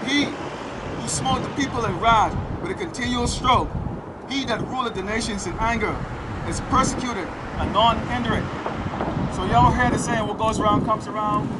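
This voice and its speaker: A man street preaching outdoors, declaiming scripture slowly and firing rhetorical questions at passersby. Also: high-pitched. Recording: loud street traffic noise.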